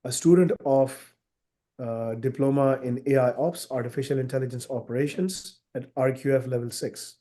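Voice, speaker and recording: A man in his thirties on a video call, briefing a student before an oral exam; he is under the weather, with a husky voice and frequent throat clearing, speaking in a measured way with many fillers. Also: medium pitch, steady tone, mellow. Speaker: examiner.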